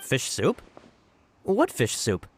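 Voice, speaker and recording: A man voicing an earnest, eager, excitable subordinate agent in a video game, speaking emphatically. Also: medium pitch, slow, a little hiss or hum. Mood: flustered, emphatic. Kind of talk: video game character acting.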